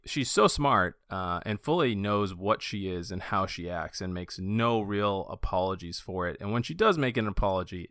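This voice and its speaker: Male podcast host, low-pitched and crisp, enthusiastic fan talking books in a casual register. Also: a bit bright, no room sound, clean studio sound. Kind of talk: casual book discussion.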